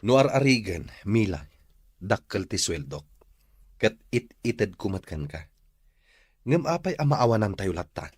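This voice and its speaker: A fed-up ilocano husband in a radio drama, grumbly, husky, close dry sound. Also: male voice, fairly low pitch, sing-song, a little slow, pauses often, no echo, exaggerated voice. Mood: exasperated, bitter. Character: weary, exasperated husband in a quarrel.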